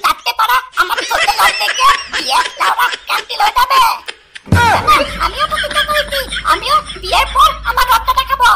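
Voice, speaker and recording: A performer, pitched up into a squeaky chipmunk-like voice, voicing a whiny, excitable, lovesick cartoon schoolboy in a crude comedy. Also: fairly quick, gravelly, a little hiss or hum, exaggerated voice. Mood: excited. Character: bratty lovesick cartoon schoolboy, pleading and foul-mouthed. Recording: loud music under the voice.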